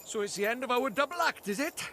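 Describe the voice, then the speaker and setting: An actor with a Welsh accent voicing a cheeky, excitable little male fairy sidekick in an animated fantasy game. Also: very high-pitched, fast, a little hiss or hum, heavily acted. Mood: jolly, cheeky.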